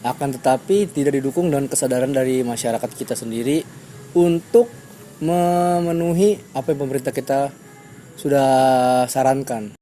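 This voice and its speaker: A man's voice: mid-range voice, moderate pace, dark tone, slight background noise. A man in his twenties answering interview questions in plain, fairly formal words. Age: 20s.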